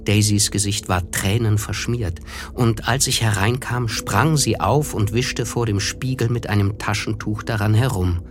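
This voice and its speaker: An actor playing a reflective first-person narrator, reading literary prose in a measured, wry way for a German radio drama. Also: male voice, lowish voice, lightly acted. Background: music under the voice.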